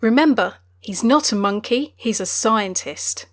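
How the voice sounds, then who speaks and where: A woman's voice: fairly high pitch, lively intonation, unhurried. A woman narrating game character lore in a chatty, speculative scripted style with light jokes. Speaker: video narrator.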